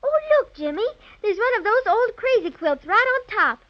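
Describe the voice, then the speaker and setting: A woman's voice: extremely high pitch, animated, normal speed, background noise. A woman playing a curious, slightly cautious little girl with gushing, childlike phrasing in a 1930s children's radio serial. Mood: playful. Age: child character. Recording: muffled 1930s radio transcription.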